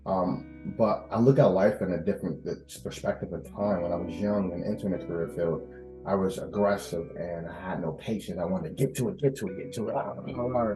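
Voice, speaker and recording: Guy in his 30s on a podcast, expressive, repeats himself for emphasis, echoey room w faint guitar music. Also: deep voice, brisk. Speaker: podcast guest, air traffic controller. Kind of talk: casual interview conversation. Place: indoors at host's house. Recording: roomy echo, faint music behind.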